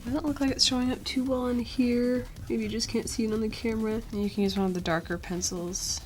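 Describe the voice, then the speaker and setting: A woman's voice: on the high side, brisk, soft background music. A young woman hosting a drawing tutorial, talking casually with many ums, her voice still weak from a cold. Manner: relaxed, chatty, with many ums and uhs. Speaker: art tutorial host. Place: indoors at a drawing desk.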